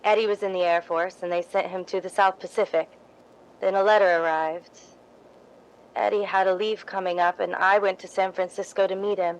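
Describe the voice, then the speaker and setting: A woman plays an earnest young woman devoted to the theater. She speaks modestly and wistfully at a middle pitch, with some hesitancy, in the manner of a 1950s radio drama. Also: flat delivery, normal speed, natural voice, poor audio. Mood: wistful, humble. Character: soft-spoken, earnest, seemingly humble young female theater devotee.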